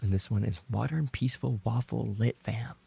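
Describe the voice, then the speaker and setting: Male streamer, low creaky voice, slightly dark and a bit husky, casual chat delivery, playful mock-indignant, sweary. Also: moderate pace. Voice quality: gravelly, creaky. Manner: playful mock-indignant, sweary, repeats phrases when flustered. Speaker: livestream host and roleplay voice actor. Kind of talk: casual livestream chat, reading and reacting to viewer messages.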